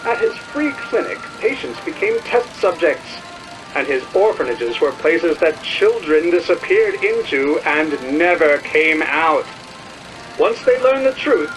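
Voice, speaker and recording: A man's voice: sits high, expressive, a little slow, over music, rough recording. A man playing a slick, self-righteous 1950s propaganda newsreel announcer in a video game, emphatic and rhetorical. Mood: accusing.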